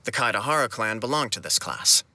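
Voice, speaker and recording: Courteous nobleman, very crisp bright tone. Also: medium pitch, animated. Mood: solemn, explanatory. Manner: formal, measured, polite. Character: courteous, earnest young nobleman, formal and gracious official. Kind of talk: video game cutscene acting.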